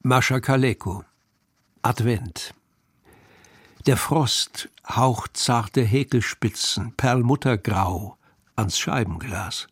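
A man reciting poems in a measured, expressive way for a children's radio program, recorded on a studio microphone. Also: mid-range voice, husky, no room sound. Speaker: poetry reader.

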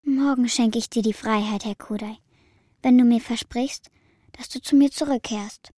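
An earnest, tender young girl, played by a woman in a children's radio play. The voice is highish and very soft-edged, breathy and mellow, recorded dry. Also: steady tone, normal speed, dry recording, close to an everyday voice. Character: young schoolgirl, earnest, tender granddaughter of a herder. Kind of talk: German children's radio drama acting.

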